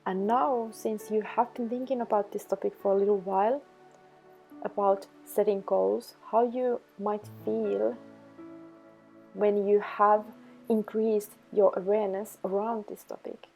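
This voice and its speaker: A woman leading a guided meditation, giving instructions in slow, measured phrases. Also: mid-range voice, steady tone, creaky, a little mellow. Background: background music.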